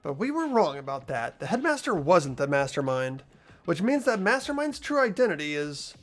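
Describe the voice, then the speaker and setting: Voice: mid-range voice, sing-song, moderate pace, soft background music, close to an everyday voice. A performer voicing an earnest, hesitant, anxious teenage schoolboy investigator in a fan-dubbed game. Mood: troubled. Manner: hesitant, questioning delivery. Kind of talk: fan voice acting of a visual novel game.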